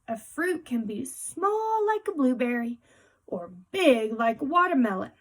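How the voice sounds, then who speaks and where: Female teacher for picture book read-aloud: slow delivery, soft-edged husky tone, talks directly to kids. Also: sits high, very animated. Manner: explanatory, addressing young listeners directly.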